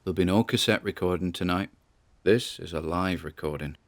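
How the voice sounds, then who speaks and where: A man's voice: sits low, a little fast. A man playing a nervous, wry grandson who records audio logs, in a conversational, musing audio drama monologue. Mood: uneasy, musing.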